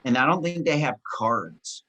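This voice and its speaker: An excitable man co-hosts a casual talk show, chatting easily with a friend in a mid-range voice. He talks loosely and swears casually. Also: medium pitch, normal speed, dull audio. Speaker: podcast co-host. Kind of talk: casual chat.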